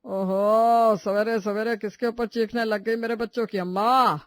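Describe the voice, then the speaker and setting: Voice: medium pitch, steady tone, unhurried, no room sound, studio quality, natural voice. An actor voicing a put-upon middle-aged father-in-law in a family story, grumbling wryly. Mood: weary grumbling. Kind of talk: animated Hindi family story dialogue.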